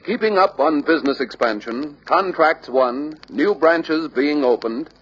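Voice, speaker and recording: A man reading scripted instructional narration for a sales training film, deliberate and emphatic. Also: on the high side, a bit bright, breathy edge. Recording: dull lo-fi old training film soundtrack.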